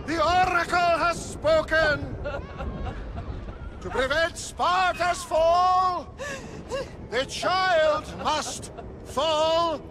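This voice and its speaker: An actor playing a stern, merciless priest in a video game, loudly declaiming. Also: male voice, extremely high pitch, very slow, long pauses, a crowd in the background, background noise, full character voice. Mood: solemn, commanding. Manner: declaiming, loud. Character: stern, merciless male priest proclaiming a prophecy. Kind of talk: cinematic video game acting.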